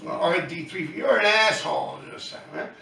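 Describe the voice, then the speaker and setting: A man's voice: sits high, normal speed, creaky, sharp tone, reverberant, distant mic. A man, talking bluntly and profanely to his viewers in a rambling monologue. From New York City. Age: 50s. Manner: blunt, profane, talks in loose run-on asides. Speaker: YouTube channel host, graffiti writer.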